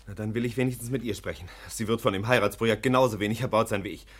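A man playing an earnest, lovestruck young gentleman in a romantic radio comedy, with a husky voice. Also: fairly low pitch, steady tone, very fast, lightly acted. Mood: polite, determined. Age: young adult.

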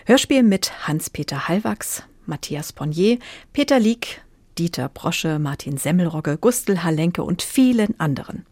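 A woman's voice: fairly low pitch, expressive, unhurried, darkish tone, dry recording. A woman working as a radio presenter, reading scripted introductions and putting questions into a close studio microphone.

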